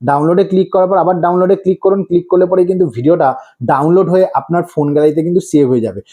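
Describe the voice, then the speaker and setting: A man's voice: high voice, racing, dark tone. A man hosting a step-by-step tutorial, instructive and repetitive, often prompting with rhetorical questions. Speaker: tutorial host.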